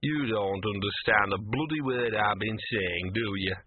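A man voicing a small, cynical, self-pitying talking dog with a hoarse, slurred, grumbling voice in an audiobook. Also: medium pitch, a little slow, narrow, tinny audio. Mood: bleary muttering. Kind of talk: audiobook character voice.

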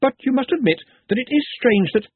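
A man's voice: very high voice, animated, a little fast, breathy edge, no echo, phone-quality sound, voice pushed up, full character voice. Man doing a flustered young wizard scholar. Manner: hesitant, stammering.

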